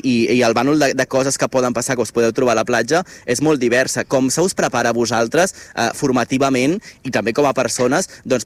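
A man with a Catalan accent, a radio reporter asking chatty, leading interview questions. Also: medium pitch. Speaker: field reporter.

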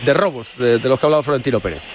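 A man with a Spanish accent from Spain hosting live sports talk, musing haltingly and stretching out words. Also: mid-range voice, slight background noise. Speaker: sports radio host.

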